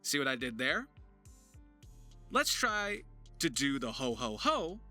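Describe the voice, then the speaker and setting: Very bright, animated man giving a tutorial. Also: medium pitch, slow pace. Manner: animated, enthusiastic explaining. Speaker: voice actor, writer and video presenter. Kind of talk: tutorial talk to viewers. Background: music.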